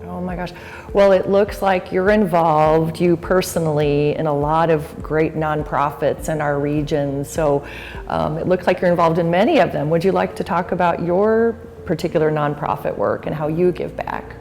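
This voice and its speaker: A woman's voice: low voice, fairly even intonation, moderate pace, darkish tone, in a large hall, slight background noise. A woman hosting an interview, effusive and enthusiastic in her reactions. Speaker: interview host. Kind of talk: interview chat.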